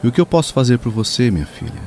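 Man playing a mellow, lilting priest. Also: mid-range voice, big pitch swings, rapid-fire, a little hiss or hum, lightly acted. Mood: paternal. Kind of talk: radio drama acting.

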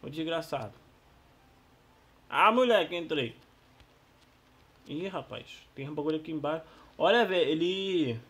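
Man, Brazilian accent, husky strained voice going hoarse, casual gaming commentary, slangy, excitable. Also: a little high, unhurried, long pauses. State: losing his voice. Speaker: gaming YouTuber.

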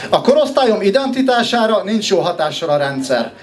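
A man in his twenties from Hungary performing rhythmic, rhyming slam poetry with a wry, ironic delivery and pauses before his punchlines. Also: sits high, big pitch swings. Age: 20s. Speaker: slam poet, contestant.

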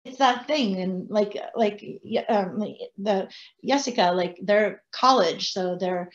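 A woman in her forties who runs a family bakery and restaurant, talking casually on a video call panel, animated and rambling, not a native English speaker. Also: mid-range voice, slow pace. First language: French. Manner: animated, gestures, rambling asides. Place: home room, indoors. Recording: slightly dull video call audio.

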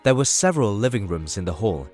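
Lowish-voiced male fantasy story narrator. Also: big pitch swings, fast, a little mellow, soft background music.

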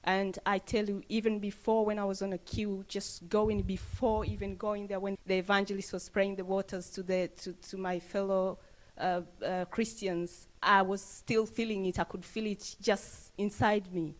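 A woman, giving an earnest, halting testimony into a handheld mic indoors. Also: mid-range voice, flat delivery, poor audio. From Tanzania. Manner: earnest, halting with fillers and restarts. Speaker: church member giving testimony. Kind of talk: on-camera testimony interview. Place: indoors, plain room. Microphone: handheld interview mic held up to her.

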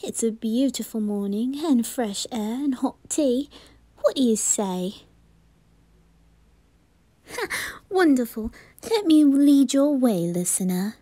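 Woman voicing a coy, soft-spoken boy noble. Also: a little high, lively intonation, slow, pauses often, no room sound. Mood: gracious, affectionate. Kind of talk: ASMR roleplay.

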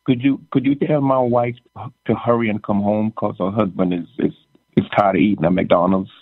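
A man's voice: fairly low pitch, steady tone, normal speed, no room sound. A man from Jamaica co-hosts a church-minded podcast and jokes and teases his guest in casual chat. He often repeats words as he goes. His voice comes through a narrow, tinny line with no room sound. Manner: joking, teasing, repeats words. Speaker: podcast co-host.

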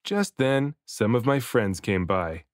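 A man reading a children's picture book aloud as an expressive storybook narrator, varying his delivery for emphasis. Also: medium pitch, slow pace, no echo, studio quality. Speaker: children's book reader, dad and voice actor.

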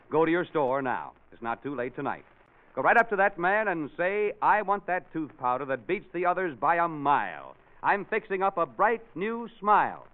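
A man reading a scripted 1940s radio advertisement as an emphatic announcer. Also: on the high side. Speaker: commercial announcer. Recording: tinny 1940s radio recording.

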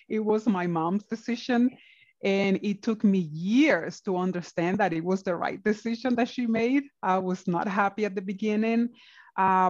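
A woman in her forties from the Dominican Republic, telling her own story openly as an interview guest over a video call from a home office. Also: medium pitch. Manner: open, animated, laughs while talking. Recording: dull lo-fi video call audio.

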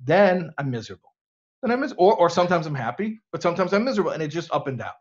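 A man's voice: fairly high pitch, very fast, muffled recording. A middle-aged man giving an informal religious talk to students, switching into anxious-sounding voices when he acts out worried questions. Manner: animated, mimics anxious self-questioning voices.

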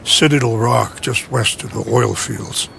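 A male actor playing a grave, courteous leader in a video game, speaking in a measured, formal way. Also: medium pitch, vocal fry, background noise. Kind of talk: video game character acting.

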